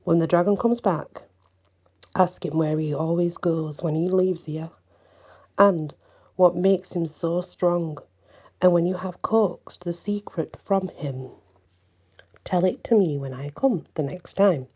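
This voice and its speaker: A woman's voice: on the low side, slow, warm tone, breathy edge. An earnest young prince, as a woman storyteller puts him on.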